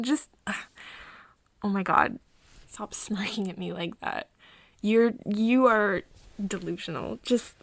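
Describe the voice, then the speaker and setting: A woman acting as a caring, teasing young woman in an intimate ASMR roleplay. Her voice is breathy and soft, often slipping toward a whisper. She talks slowly and hesitantly in a close, dry recording, gently coaxing her friend. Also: mid-range voice, dry recording.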